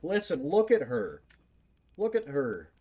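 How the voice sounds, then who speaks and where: A man's voice: on the high side, huge pitch swings, a little slow, warm tone. A man streaming a video game with casual, joking, sweary commentary, muttering to himself as he plays. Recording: clear background game noise.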